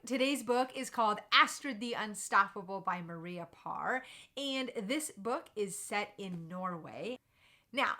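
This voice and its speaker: A woman's voice: a little high, bright, forceful tone. A woman hosting a book recommendation video, speaking to viewers in an enthusiastic, emphatic presenter style. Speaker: host of a children's book recommendation channel. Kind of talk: upbeat scripted book review to camera.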